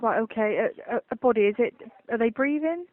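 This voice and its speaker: A woman's voice: fairly high pitch, a little fast. A woman handling an emergency call, speaking unscripted and repeating words as she goes. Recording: muffled noisy emergency phone line.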